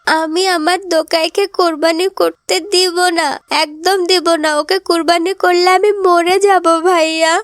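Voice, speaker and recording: Devoted little girl, cartoon melodrama. Extremely high voice, pleading and plaintive, dry recording. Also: little pitch movement, a little slow, no room sound. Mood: desperate, defiant.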